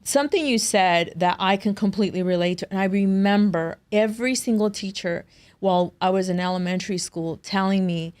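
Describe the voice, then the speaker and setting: A woman's voice: medium pitch, brisk, darkish tone, clear, resonant tone. Emphatic woman podcast host. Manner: emphatic, affirming, speaks to the audience. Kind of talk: conversational interview.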